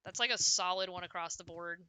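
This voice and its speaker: A young woman chatting casually and loudly on a game livestream, rambling and often cutting herself off as she plays. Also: on the low side, fairly quick, smooth. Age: young adult. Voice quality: loud voice. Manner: rambling, self-interrupting, frequent "like". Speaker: video game streamer. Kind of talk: casual livestream chat while playing.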